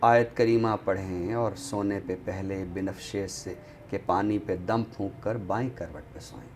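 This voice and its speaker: A man reciting poetry on a literary show in a deliberate, expressive way, returning to refrains. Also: low-pitched. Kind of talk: dramatic Urdu poetry recitation, then book talk. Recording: clearly noisy background ambience.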